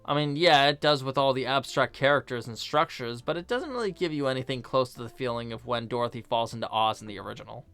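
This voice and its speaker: A man delivers a scripted video essay in a clear, smooth voice at a middling pitch. He sounds conversational and opinionated, dropping casual asides between prepared lines, with music playing behind him. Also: expressive, fast, hardly any pauses, fairly bright tone. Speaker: video essay host, film reviewer.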